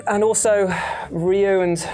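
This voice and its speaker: A presenter chatting casually about opinions in a jokey, animated way, swearing freely. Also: medium pitch, takes it slowly. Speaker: video channel presenter. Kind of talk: casual chat, film opinion. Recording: music behind the voice. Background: background music.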